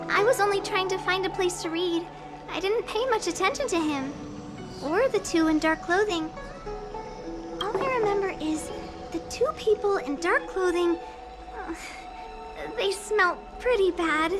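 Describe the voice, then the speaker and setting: A woman's voice: extremely high pitch, measured pace. A woman voicing a timid, bookish young female shop clerk in a video game, hesitant and apologetic. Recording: music under the voice.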